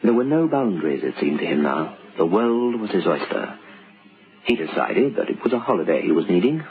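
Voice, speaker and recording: A knowing suspense narrator tells a radio tale with wry drama. His low voice is creaky and swings expressively from phrase to phrase. It sounds like a muffled vintage broadcast. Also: big pitch swings, brisk. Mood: wry, suggestive. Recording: muffled old radio recording.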